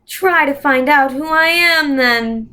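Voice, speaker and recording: A woman voicing a menacing, coolly amused man who speaks by thought in a storybook, slow, deliberate and condescending. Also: high voice, bright, forceful tone, voice pushed up, heavily acted. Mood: probing, sly.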